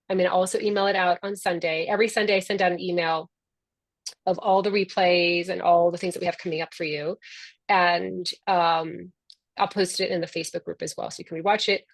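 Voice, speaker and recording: A woman's voice: on the low side, dry recording. A middle-aged woman whose first language is Spanish, the founder hosting a live online orientation in an upbeat, affectionate, chatty way.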